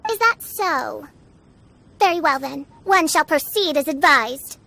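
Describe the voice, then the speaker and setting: A woman's voice: high-pitched, expressive, a little slow, creaky, bright, forceful tone, full character voice. A woman voicing a haughty, theatrical self-styled young princess in a video game, speaking in grandiose, archaic phrases. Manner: pompous, archaic, grandiloquent speech. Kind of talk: video game character acting.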